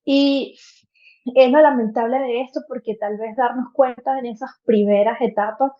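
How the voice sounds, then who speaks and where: A woman's voice: a little high, steady tone, normal speed, dull audio. A Venezuelan woman in her thirties, a podcast guest telling a personal story earnestly, with many fillers and restarts. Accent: Venezuelan Spanish.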